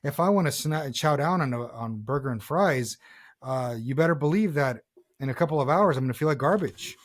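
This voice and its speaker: A man's voice: mid-range voice, expressive, fairly quick. A man in his thirties talking earnestly in a reflective personal conversation, often repeating and rephrasing himself as a podcast co-host. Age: 30s.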